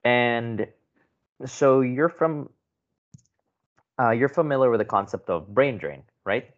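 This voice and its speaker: A man's voice: medium pitch, takes it slowly. A man from the Philippines, a podcast guest, talking in a loose conversational way and often checking in with "right?". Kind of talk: podcast interview. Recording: muffled lo-fi audio.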